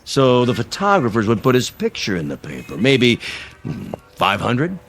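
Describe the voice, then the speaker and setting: A man's voice: medium pitch, wildly swooping pitch, normal speed, a bit bright, soft background music. An actor playing a pompous, folksy and shrewd veteran politician, an older man, in an old-time radio drama. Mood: skeptical, probing. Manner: pompous, wheedling, theatrical.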